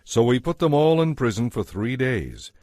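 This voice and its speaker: A man's voice: medium pitch, sing-song, moderate pace, warm tone, no room sound, studio quality. A man reading scripted narration as the narrator of a dramatized audio Bible.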